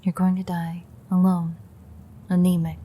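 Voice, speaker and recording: A woman voicing a bitter, threatening female vampire in an audio roleplay, sardonic and foul-mouthed, in a breathy, creaky murmur. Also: fairly low pitch, monotone, a little slow, mellow. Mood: angry, taunting. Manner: sardonic, profane, taunting. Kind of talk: intimate audio roleplay monologue.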